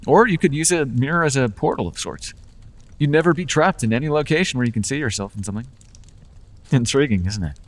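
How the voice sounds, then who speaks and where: A man's voice: mid-range voice, animated, quick pace, big-room sound, close to an everyday voice. A man voicing a charming, persuasive male Fae who makes deals, with playful, coaxing salesman patter in an ASMR roleplay.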